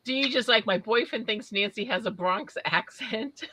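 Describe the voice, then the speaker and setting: A woman's voice: on the high side, normal speed, pushed and bright, a little hiss or hum. A woman from Massachusetts with a mixed Northern Massachusetts and Southern New Hampshire accent with Scottish and English traces, co-hosting a casual live stream, reading chat aloud and laughing often.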